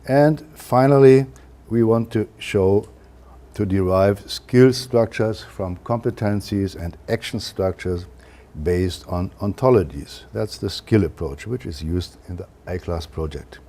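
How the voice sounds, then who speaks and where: Male lecturer, German accent, lowish mellow voice, measured and halting, academic delivery. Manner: careful, halting delivery with frequent uh fillers. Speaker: university lecturer.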